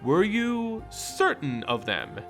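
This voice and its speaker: A man acts out a ghostly witch in a fantasy storybook reading. She is knowing and needling, with slow, lilting lines in a bright voice. Soft music sits behind. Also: high voice, wildly swooping pitch, full character voice. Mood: probing. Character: spectral female witch spirit, cryptic and needling. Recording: voice over background music.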